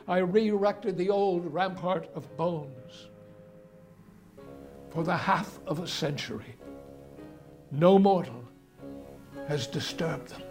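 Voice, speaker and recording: An actor playing a vengeful, coldly gloating murderer in a dramatic reading of a gothic horror story, with deliberate, theatrical, formal delivery. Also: male voice, sits high. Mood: grim, solemn. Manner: deliberate, theatrical, formal narration with loud cries.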